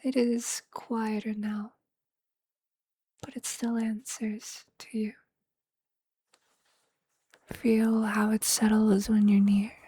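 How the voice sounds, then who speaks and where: A performer voicing a tender, possessive, motherly vampire in a soft, breathy whispered ASMR roleplay, speaking slowly and intimately in formal, poetic phrases. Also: mid-range voice, flat delivery, no echo.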